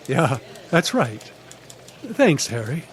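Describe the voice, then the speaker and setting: An actor playing a mild, hesitant old newspaper columnist, flustered and deferential, in an old-time radio drama. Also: male voice, mid-range voice, very animated, very slow, heavily acted. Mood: puzzled. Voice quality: hesitant, stammering when flustered. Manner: genial, meandering, absent-minded. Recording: live stage recording with background noise.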